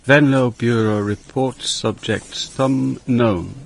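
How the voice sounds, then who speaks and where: An actor playing a world-weary, middle-aged police inspector, narrating his case in a measured, dry, reflective radio drama style. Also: medium pitch, steady tone, vocal fry, a little hiss or hum. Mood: brooding, observant. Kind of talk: radio drama, first-person detective narration.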